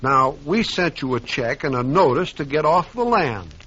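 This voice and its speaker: An actor playing a nervous, pushy railroad agent who pleads and stammers, in a 1950s radio drama. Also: male voice, fairly high pitch, big pitch swings, moderate pace, fairly bright tone, slightly rough, full character voice. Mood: pleading. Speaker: railroad representative. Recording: dull old radio recording.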